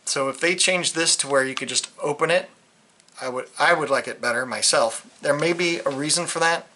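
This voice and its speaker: A man's voice: fairly high pitch, a little fast, pushed and bright, a little hiss or hum. A man giving a casual, unscripted product review, rambling and hesitant, often trailing off mid-thought. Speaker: hobbyist video reviewer.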